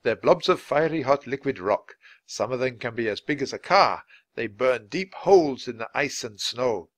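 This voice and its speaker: A man's voice: mid-range voice, lively intonation, normal speed, no echo, lightly acted. A man voicing a knowledgeable biologist who explains dangers with relish in a storybook. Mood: vivid warning.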